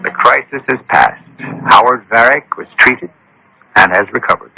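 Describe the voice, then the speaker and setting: A man hosting a radio drama with grave, measured, scripted narration. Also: mid-range voice, expressive, creaky, bright, forceful tone, breathy edge, no echo, soft background music. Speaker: host narrator. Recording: noisy old radio broadcast.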